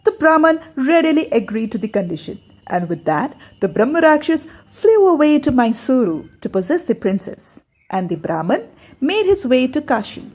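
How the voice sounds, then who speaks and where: A woman with an Indian accent telling a folk tale in expressive scripted narration. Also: high voice. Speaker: storyteller.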